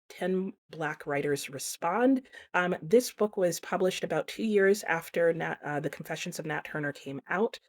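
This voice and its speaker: Casting: female book reviewer, lowish pitch, informal and conversational, talking straight to viewers. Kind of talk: casual chatty book review to camera.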